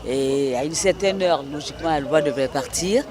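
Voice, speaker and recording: A man's voice: on the high side, normal speed, a crowd in the background. A man at a concert enthusiastically praising the show in a street interview. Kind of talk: street vox pop.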